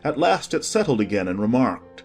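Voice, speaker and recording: A man's voice: medium pitch, big pitch swings, normal speed, faint music behind. A man narrating a children's story from a script as the storyteller.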